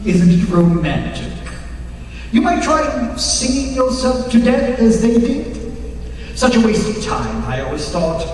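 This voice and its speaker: A man playing a sinister criminal mastermind professor in a radio mystery, mocking and menacing, with drawn-out, mock-courteous taunts. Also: sits high, big pitch swings, darkish tone. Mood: gloating, mocking. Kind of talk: old-time radio mystery acting. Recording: very echoey hall, distant mic, faint noise.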